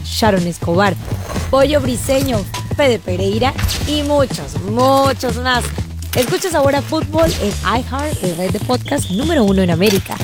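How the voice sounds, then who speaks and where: A woman welcoming listeners in a promo for a sports podcast. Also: on the high side. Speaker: podcast host. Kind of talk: scripted promo read. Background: music.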